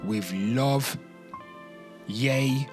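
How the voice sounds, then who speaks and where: A man's voice: mid-range voice, very slow. A man speaking casually and emphatically in an off-the-cuff rant, with slang, swearing and repeated phrases, talking straight to his listeners. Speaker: host of a pop culture commentary show. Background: music.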